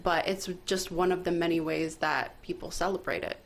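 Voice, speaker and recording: A woman's voice: lowish voice, moderate pace, gravelly. A woman co-hosting a talk show in a casual, chatty style. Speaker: co-host. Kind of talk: casual radio chat.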